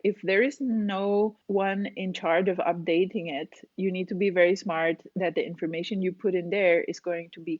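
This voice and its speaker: A woman's voice: fairly low pitch, no echo. Explanatory woman in her forties, lo-fi. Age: 40s. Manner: chatty, explanatory, laughs often. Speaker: guest, cave diving instructor. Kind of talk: casual interview talk.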